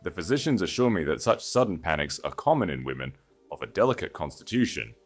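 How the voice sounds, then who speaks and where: A man's voice: low voice, very animated, quick pace, faint music behind, exaggerated voice. A man voicing an arrogant, self-satisfied earl in an audiobook, pompous and flowery with false devotion. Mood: smoothly condescending.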